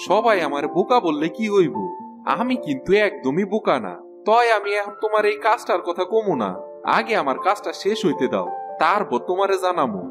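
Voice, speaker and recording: An actor playing a naive, self-satisfied village farmer and husband in a cartoon, with a rural Bangladeshi Bengali accent. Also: male voice, sits high, sing-song, fairly quick, over music. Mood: boastful, defensive. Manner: naive, earnest, boastful. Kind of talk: cartoon voice acting.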